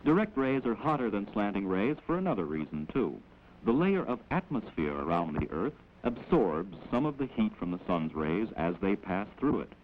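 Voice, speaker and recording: A man with an American accent gives measured, instructive scripted narration in the manner of a 1940s educational film, on an old film soundtrack. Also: lowish voice, very animated. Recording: dull, noisy old 16mm film soundtrack.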